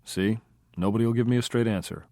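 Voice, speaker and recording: A cool, deadpan man who talks in short, laconic lines, as a male narrator voices him. The voice stays low and close to the narrator's own. Dry, close recording. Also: fast talker, no echo, lightly acted. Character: cool, dry-witted male film producer.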